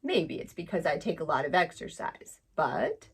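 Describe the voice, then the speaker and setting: A woman voicing a sleek, vain male tiger in a storybook, speaking slowly and slyly. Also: low-pitched, sing-song, pitched below the performer's own voice, heavily acted. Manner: smooth, slow, sly.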